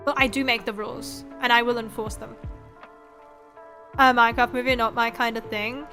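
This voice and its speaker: 20s streamer girl, high bright voice, rambly sweary casual chat, bit of music in the back. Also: fairly even intonation, moderate pace. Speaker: streamer, VTuber host. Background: background music.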